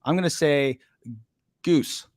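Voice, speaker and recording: A man hosting a podcast in a relaxed, hesitant way, with many uhs and jokey asides. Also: a little high, takes it slowly, no room sound, studio quality. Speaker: podcast host. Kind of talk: casual podcast conversation.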